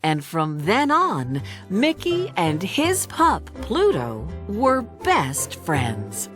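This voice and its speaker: A woman narrating a children's read-along storybook in an expressive, deliberate storytelling manner. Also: lowish voice, over music.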